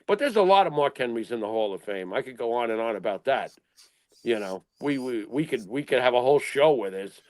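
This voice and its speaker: A man's voice: medium pitch, lively intonation, fairly quick, darkish tone, no echo. A middle-aged man co-hosting a casual talk show, blunt and exasperated, swearing and firing off rhetorical questions. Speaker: wrestling talk show co-host. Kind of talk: casual opinionated panel chat.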